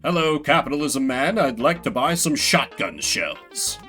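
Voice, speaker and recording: A man's voice: fairly high pitch, lively intonation, a little slow, bright, forceful tone. A man doing live game commentary in a playful, sweary way, reading bits of text aloud and chatting with viewers. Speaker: game streamer. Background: video game music.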